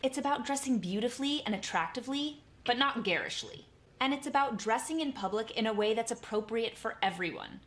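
A woman in her twenties giving earnest, measured advice straight to the camera from a room at home. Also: on the high side, lively intonation, a bit bright. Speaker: YouTuber in clips. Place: small home room, indoors.

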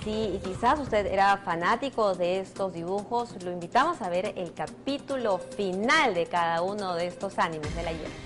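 A woman's voice: mid-range voice, normal speed, hardly any pauses. A woman presenting a scripted introduction in a television studio. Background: music behind the voice.

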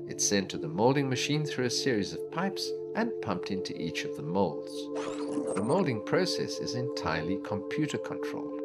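A man giving scripted documentary narration about a factory in a measured, explanatory delivery. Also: fairly low pitch, very animated. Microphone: studio voice-over. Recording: voice-over with clear background music.

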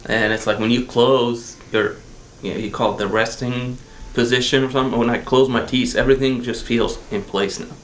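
A middle-aged man from Egypt answers as a patient, earnest and brief, pausing to find words. His voice sits in a middle register. The clinic room echoes, with a faint hiss behind. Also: medium pitch, steady tone, a bit bright. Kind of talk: interview answers. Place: dental clinic treatment room.

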